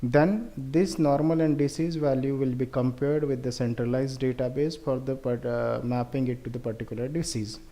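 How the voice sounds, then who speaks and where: Mellow Indian man explaining a project. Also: mid-range voice, steady tone, moderate pace, few pauses. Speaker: researcher presenting a project.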